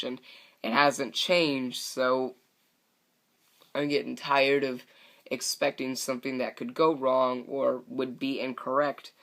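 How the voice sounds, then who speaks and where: A man's voice: medium pitch, creaky, a bit bright. Young man rambling on a broken mic. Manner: rambling, frustrated, many fillers and restarts. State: voice a bit scratchy from talking all day. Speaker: podcast host. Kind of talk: solo wrestling podcast rant. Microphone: broken microphone.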